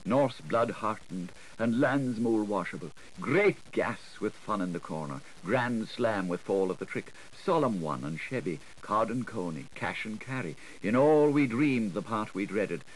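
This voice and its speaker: A man's voice: on the low side, animated, unhurried, few pauses, a little hiss or hum, low-quality recording. A man giving a theatrical audiobook reading of literary prose, in a declamatory, rhythmic way. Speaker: audiobook narrator.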